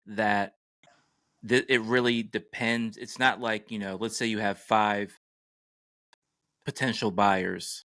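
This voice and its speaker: A man's voice: lowish voice, little pitch movement, takes it slowly, pauses often, fairly bright tone, no room sound, studio quality. A man co-hosting a podcast, chatting casually and putting questions to his partner. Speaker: podcast co-host. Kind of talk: casual chat, posing questions.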